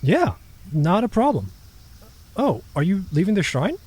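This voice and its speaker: An actor playing a polite, timid young male raccoon dog in an audio drama, hesitant and gentle. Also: medium pitch, sing-song, a little slow, breathy edge. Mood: friendly, concerned. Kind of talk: audio drama character acting.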